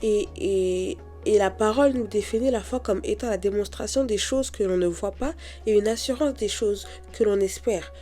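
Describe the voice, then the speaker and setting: Woman, stuffed-up nose from a cold, mellow tone, chatty faith podcast host, soft music underneath. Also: medium pitch, moderate pace. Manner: chatty, addresses listeners directly.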